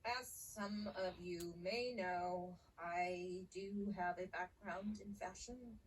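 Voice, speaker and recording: A woman's voice: fairly low pitch, slow, recorded from a distance, a little hiss or hum, poor audio. A woman playing a dry, self-deprecating young fashion insider in a video game cutscene, speaking matter-of-factly. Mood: modest.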